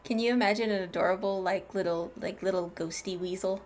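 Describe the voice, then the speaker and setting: A woman's voice: medium pitch, steady tone, a little fast, a little mellow. A woman giving casual, enthusiastic, gushing commentary as she plays through a game. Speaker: game streamer. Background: game music.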